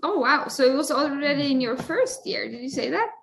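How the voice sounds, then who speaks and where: A woman's voice: high voice, expressive, brisk, creaky. A woman interviewing a guest on a webinar, asking questions with frequent fillers. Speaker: interviewer from university staff.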